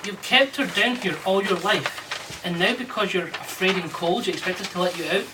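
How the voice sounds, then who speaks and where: An actor playing a stern, accusing man who speaks with cold, deliberate command in an old radio drama. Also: sits high, close to an everyday voice. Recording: very noisy old radio recording.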